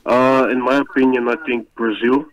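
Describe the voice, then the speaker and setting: Dutch guy calling in, calm steady talk, radio-ish phone sound. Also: medium pitch, normal speed, muffled recording. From Netherlands. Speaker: caller. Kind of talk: phone-in call.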